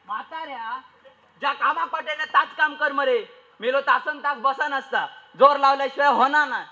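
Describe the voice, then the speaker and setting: A man's voice: very high voice, moderate pace, bright, forceful tone, heavily acted. A man playing a nagging, exasperated daughter-in-law in a comic stage play, scolding and complaining in a Malvani accent. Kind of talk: broad comic stage acting in a dialect play. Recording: dull, lo-fi stage recording.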